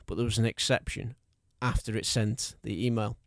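A man's voice: mid-range voice, fairly even intonation, moderate pace, dry recording, clean studio sound. A man hosting a podcast interview, talking casually with many hesitations and fillers, self-deprecating. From North Wales border area. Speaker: podcast host.

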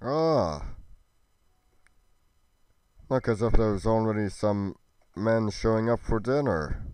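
A man playing a weary travelling hero in a game roleplay, talking gently and plainly to his horse. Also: fairly low pitch, expressive, slow pace, smooth, dry recording, voice pushed down. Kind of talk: amateur game roleplay drama.

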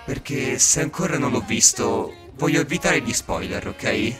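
A man's voice: medium pitch, moderate pace, gravelly, over loud music. A man recording a casual voice message.

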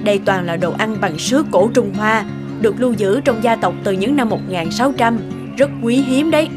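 A woman's voice: mid-range voice, moderate pace, lightly acted. A woman voicing a kind but exasperated middle-aged lady of the house in a cartoon moral tale, speaking earnestly. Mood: stern. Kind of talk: animated moral-tale voice acting. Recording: music under the voice.

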